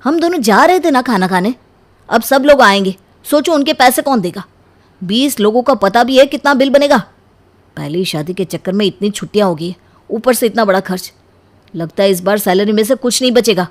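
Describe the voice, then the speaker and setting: A woman's voice: mid-range voice, expressive, moderate pace, lightly acted. A woman acts out a newly married young man in a cartoon tale. The husband grumbles and reproaches in a mid-range voice, wrapped up in his own troubles. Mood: annoyed. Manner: complaining, reproachful. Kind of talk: cartoon story acting.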